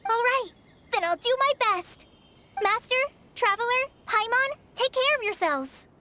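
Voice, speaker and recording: A woman's voice: very high voice, lively intonation, unhurried, sharp tone, exaggerated voice. A woman playing a shy, earnest teenage girl apprentice in a video game, hesitant and unsure of herself. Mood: earnest resolve. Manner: hesitant, self-effacing. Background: game music.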